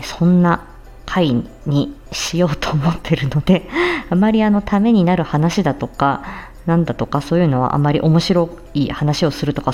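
A woman's voice: on the low side, warm tone. A woman streamer chatting casually in a giggly, bashful, hesitant way as she makes announcements. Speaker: streamer and amateur voice-drama performer.

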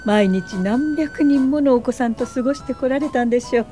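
A woman playing a composed, politely firm older welfare pioneer in a radio drama, with measured narration and dialogue. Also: sits high, mellow, faint music behind, lightly acted. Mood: calm, polite.

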